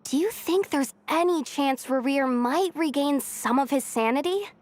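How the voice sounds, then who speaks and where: A woman's voice: high voice, measured pace, darkish tone, slight background noise. An actress playing an earnest young female helper in an anime-style game.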